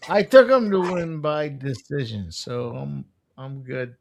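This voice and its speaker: A man's voice: medium pitch, creaky, slight background noise. A drunk man co-hosting a fight stream, slurring and swearing as he reads out betting lines. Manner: slurred, slow, profane. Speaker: co-host giving betting picks.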